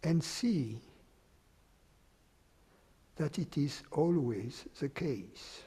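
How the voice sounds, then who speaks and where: Slow, soft-spoken man guiding meditation. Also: a little high, animated, husky, big-room sound. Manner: slow, unhurried, with long pauses. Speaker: meditation teacher. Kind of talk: guided meditation.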